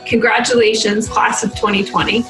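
A middle-aged woman, a college instructor, recording a warm congratulatory video message in a living room. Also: on the high side, flat delivery, brisk. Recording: echoey room, music over it.

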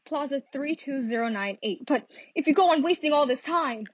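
A woman's voice: sits high, lively intonation, normal speed, dry recording. A woman playing a nervous, imperious married invalid in a radio thriller, insistent and easily exasperated. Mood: anxious, urgent. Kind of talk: classic radio thriller acting. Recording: dull, lo-fi dry audio.

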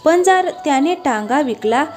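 A woman's voice: sits high, animated, normal speed. A woman reading scripted narration for a cartoon moral story. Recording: music behind the voice.

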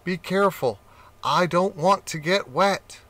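A fussy, hot-tempered male cat with a raised, whiny, complaining voice, as a man reading aloud acts him out. He sounds high and bright, delivered at an unhurried storybook pace. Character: fussy male cat who hates water, quick to anger.

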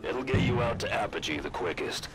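An actor playing a gruff, territorial male colony governor in a video game, sardonic and grudging. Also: on the low side, animated, brisk, very noisy, lightly acted. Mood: grudging, wry. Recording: loud music and game sound behind.

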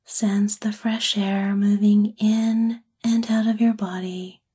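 A woman with a soft, breathy, whispery voice gently and soothingly guides a sleep meditation in an ASMR-like style. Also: medium pitch, level tone. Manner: gentle, soothing, unhurried phrasing.

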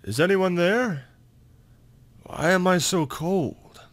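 A man voicing a sad, lonely ghost with slow, drawn-out, plaintive questions. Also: sits high, pitched above the performer's own voice. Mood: plaintive, frightened.

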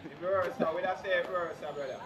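A man's voice: high voice, steady tone, fast talker, fairly bright tone, muffled recording. A Jamaican local man speaking emphatic, broad patois in a casual chat. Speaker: local resident.

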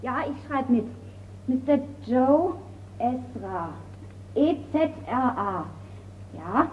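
A woman's voice: high voice, sing-song, slow pace, full character voice. An actress playing a polite, composed lady of a country estate in a radio crime drama. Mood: polite, businesslike. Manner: courteous. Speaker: radio play actor. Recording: distant, dull, noisy radio play recording.

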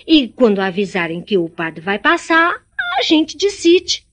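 A woman's voice: mid-range voice, very animated, unhurried. A woman playing a stubborn, teasing young rural daughter in a radio drama, with a Brazilian accent. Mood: stubborn, exasperated.

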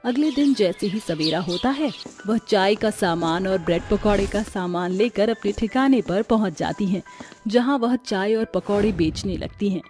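A woman's voice: mid-range voice, moderate pace, a little mellow, faint music behind. A woman narrating a scripted story as its storyteller.

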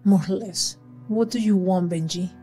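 A young woman acting a scientist who is turning into a monster, reporting her symptoms in a halting, anxious way in a small room. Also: medium pitch, warm tone, soft background music. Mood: tense. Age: young adult. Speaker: lead actress in a web series. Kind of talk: amateur web drama acting.

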